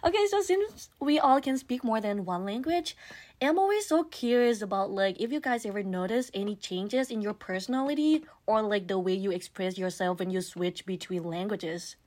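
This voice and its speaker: A woman hosting a podcast, a native Thai speaker, bubbly and quick to react with little exclamations. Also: mid-range voice, darkish tone. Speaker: podcast host.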